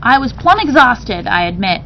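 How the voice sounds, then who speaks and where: Woman reading as folksy country mom telling her tale, animated, storybook style, faint noise behind, dull sound. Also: normal speed. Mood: animated retelling. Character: giggly country mother fondly retelling a birth tale. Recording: dull, noisy recording with rumble.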